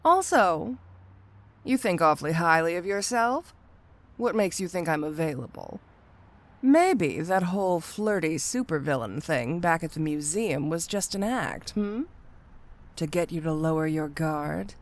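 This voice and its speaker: A woman's voice: fairly low pitch, animated, a little slow. A woman playing a flirty, sly supervillain cat burglar in an intimate ASMR roleplay, with a coy, drawling, playfully condescending delivery. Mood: teasing, coy.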